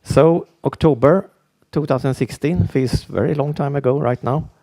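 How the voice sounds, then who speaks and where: Halting Swedish-accented man, gravelly voice. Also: medium pitch, mellow. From Sweden. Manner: halting, with frequent restarts and fillers. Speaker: conference speaker. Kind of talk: conference presentation.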